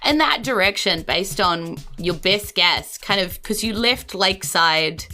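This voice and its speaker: A woman's voice: mid-range voice, expressive, a little slow, bright, forceful tone, faint music behind. A woman with a New Zealand accent improvising narration as the game master of a tabletop game.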